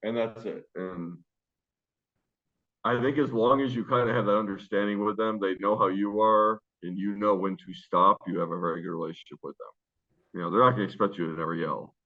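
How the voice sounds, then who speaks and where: A man with a very low, deep voice talks casually about coaching, with many fillers and restarts. His voice comes through thin and narrow, like a phone line. He is loud and carries well. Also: steady tone, a little fast. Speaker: high school basketball coach. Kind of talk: casual interview answers. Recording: tinny phone-line sound.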